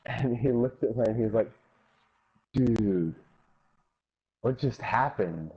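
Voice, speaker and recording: Husky, low-pitched young man, muffled audio. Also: animated, takes it slowly. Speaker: guest storyteller. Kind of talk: casual anecdote telling.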